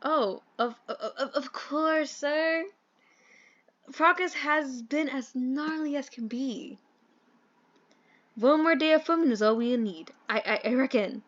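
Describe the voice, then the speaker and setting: A woman's voice: high-pitched, slow pace, lo-fi sound. A woman voicing an eager but sheepish young male filmmaker with groovy slang and a hesitant, rambling manner in a comic audio drama. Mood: nervous.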